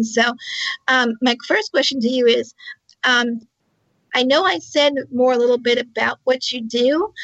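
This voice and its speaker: A woman's voice: on the high side, creaky, fairly bright tone, dry recording. A woman hosting a radio talk show, asking chatty, rambling questions full of fillers. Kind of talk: casual interview. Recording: muffled lo-fi line.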